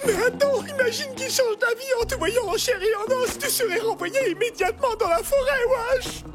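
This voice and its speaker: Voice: very high-pitched, moderate pace, over loud music. An actor voicing a brash, self-satisfied young male wild boar in a cartoon, cocky and slangy. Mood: worried. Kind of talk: French cartoon dialogue.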